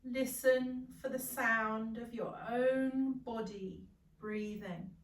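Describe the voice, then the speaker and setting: Very slow-speaking woman guiding meditation. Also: a little high. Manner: calm, soothing, gentle instruction with long pauses. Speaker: yoga nidra meditation guide. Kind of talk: guided meditation. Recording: roomy echo, distant from the mic.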